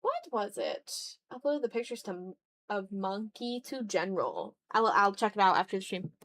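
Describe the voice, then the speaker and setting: A woman with a mid-pitched voice hosts a casual drawing stream. She talks in a loose, playful way to her chat, swearing lightly and tending toward mock-dramatic complaint. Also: big pitch swings, moderate pace, a bit bright. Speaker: art streamer.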